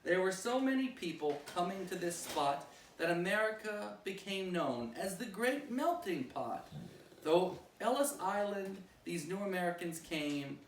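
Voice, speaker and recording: A man reading a rhyming children's picture book aloud like a school principal at story time, with expressive, singsong phrasing. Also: high-pitched, slight background noise. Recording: roomy echo, distant microphone.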